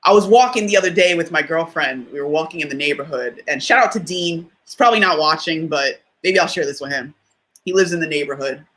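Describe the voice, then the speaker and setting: A woman with a deep voice giving a conversational motivational talk, stressing key lines by repeating them and often checking in with the listener. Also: brisk, fairly bright tone. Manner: emphatic, repeats key phrases for effect; conversational, often asks "you know what I mean?". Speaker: motivational speaker.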